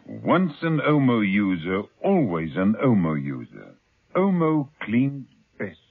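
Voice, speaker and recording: A man reading a vintage radio commercial with an upbeat, persuasive sales pitch. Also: wildly swooping pitch, slow, breathy edge, dry recording. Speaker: radio ad announcer. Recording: dry, tinny old radio recording.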